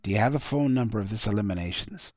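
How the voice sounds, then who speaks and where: A man's voice: lowish voice, animated, fast talker, a little mellow, dry recording. Businesslike cop some guy reading a story, official and procedural, dry muffled recording. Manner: matter-of-fact, official. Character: businesslike male police detective, calm and procedural.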